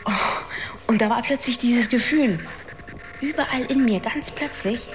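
An actress in a radio drama playing an intense, searching woman, speaking intimately in halting phrases. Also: on the high side, close to an everyday voice. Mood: awestruck. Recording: echoey, loud music underneath.

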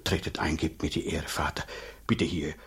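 An actor playing a middle-aged, gruff and suspicious village priest in a radio drama, husky, blunt and talkative. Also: male voice, extremely low pitch, steady tone, moderate pace, full character voice. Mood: apologetic, grumbling.

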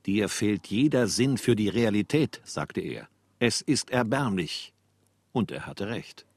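A man's voice: fairly low pitch, animated, a little slow, darkish tone, dry recording. A man narrating a literary radio drama as a storyteller who also voices a stern uncle. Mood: stern, scornful.

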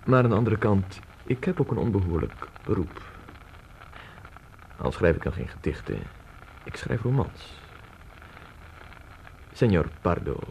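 An actor in a radio play voicing a worldly, inquisitive novelist with a formal, wordy and measured manner. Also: male voice, low-pitched, a little mellow, full character voice. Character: worldly, inquisitive male novelist, courteous and insistent. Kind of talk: radio drama acting. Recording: noisy radio drama recording.

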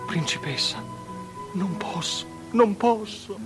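An actor playing a deferential, uneasy young captain, courteous and earnest, in a stylized radio drama. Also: male voice, high voice, lively intonation, takes it slowly, music in the background. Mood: torn, pleading.

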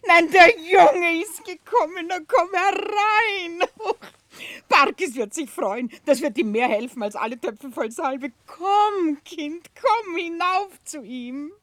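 An actress playing a warm-hearted, motherly middle-aged housekeeper in a 1960s radio play, effusive and chatty. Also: extremely high pitch, slow, dry recording, heavily acted. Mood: overjoyed, tearful. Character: warm-hearted, emotional older housekeeper, fussing motherly wife. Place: dry radio studio.

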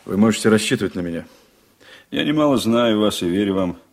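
A shrewd, seasoned male sailor in a radio drama. His voice is low, and he talks deliberately and frankly, like a loyal man sharing a confidence, with a wry edge. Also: animated. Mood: confiding, earnest. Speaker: actor in a radio play. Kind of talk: 1980s Soviet radio drama acting.

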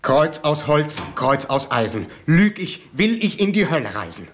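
A stammering village poacher. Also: male voice, a little high, very animated, unhurried, a little hiss or hum. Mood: flustered. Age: 30s. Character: nervous 33-year-old village poacher, folksy, evasive. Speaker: suspect under questioning. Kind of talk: 1970s radio crime drama acting.

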